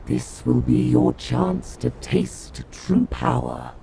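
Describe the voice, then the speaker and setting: An actor voicing a menacing demon army commander in a video game, gravelly, slow and imperious. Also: male voice, medium pitch, monotone. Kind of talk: video game villain monologue. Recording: clear background noise.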